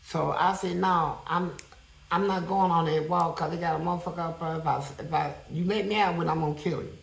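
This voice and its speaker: A man with a high-pitched voice telling a prison story in a blunt, profane, animated way as an interview guest. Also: a little fast, vocal fry, reverberant, low-quality recording.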